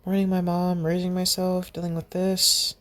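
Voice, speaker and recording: A woman voicing a kind, gentle young man in a mask who talks in a soft, reassuring way, in a storybook reading. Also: fairly low pitch, completely flat delivery, normal speed, lightly acted. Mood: earnest.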